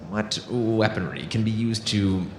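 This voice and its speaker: A man playing a solemn alien nobleman in an audio drama, speaking gravely and haltingly. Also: fairly low pitch, reverberant, slight background noise. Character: solemn alien nobleman, a count's son seeking revenge.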